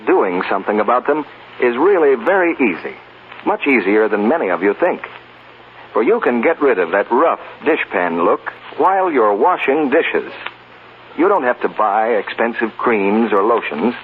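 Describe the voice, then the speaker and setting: A man's voice: mid-range voice, sing-song, normal speed. An American man announcing in a scripted 1940s radio advertising style, polished and emphatic like a salesman. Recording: tinny, faintly noisy 1940s radio recording.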